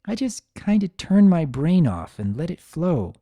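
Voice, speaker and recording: Man putting on a gentle, higher-pitched young mare. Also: sing-song, unhurried, no echo, studio quality, heavily acted. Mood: modest, easygoing. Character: cheerful, affectionate female pony caretaker, gentle and modest. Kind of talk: character dialogue in a dramatic reading.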